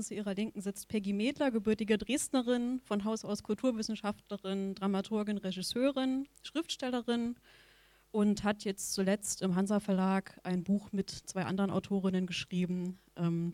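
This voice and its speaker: Woman moderator, mellow voice, dry sound, friendly, some fillers. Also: medium pitch, dry recording. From Halle, Germany. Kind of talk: panel moderation.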